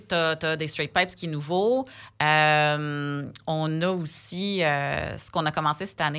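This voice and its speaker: Femme anglophone, français québécois, voix un peu grave, bavarde, hésitations fréquentes. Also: female voice, sits low, vocal fry, fairly bright tone, smooth. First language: English. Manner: chatty, many fillers and restarts. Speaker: sponsor representative guest. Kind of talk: casual podcast conversation.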